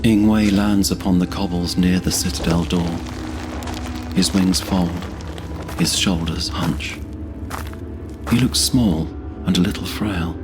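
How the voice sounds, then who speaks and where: A man narrating scripted fantasy as a storyteller in a solemn, measured manner. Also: deep voice, over music.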